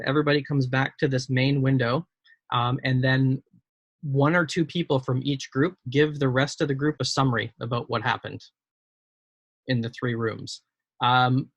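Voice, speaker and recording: A man's voice: medium pitch, fairly bright tone, no echo, highs cut off. A man running an online meeting, explaining the next steps in a methodical, thinking-aloud way over a video call. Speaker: meeting facilitator. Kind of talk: casual online meeting talk.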